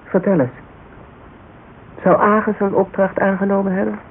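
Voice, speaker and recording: An actress playing a cautious, sceptical female private detective in a conversational Dutch radio drama, measured and probing. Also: medium pitch, level tone, lightly acted. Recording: narrow, faintly noisy old radio broadcast.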